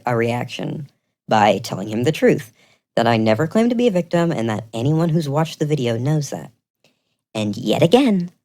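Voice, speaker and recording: This is a video creator with a voice of middling pitch. The delivery is semi-scripted commentary, earnest and pointed. Key words get firm emphasis, and the tone is accusatory. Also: darkish tone.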